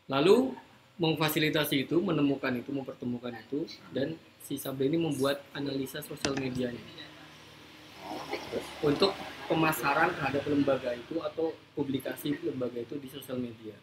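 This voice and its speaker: A man's voice: medium pitch, a little fast. A man hosting a casual live talk, explaining informally and mixing in English business terms. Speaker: host, creative agency founder. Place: room, live social media broadcast. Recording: noisy live-stream room recording.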